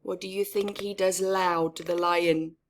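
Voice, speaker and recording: A woman reading a picture book aloud to children in an expressive, theatrical way, with emphatic words and hushed, whispery phrases. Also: on the low side, measured pace. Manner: asks young listeners questions and comments on pictures. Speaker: storyteller for children.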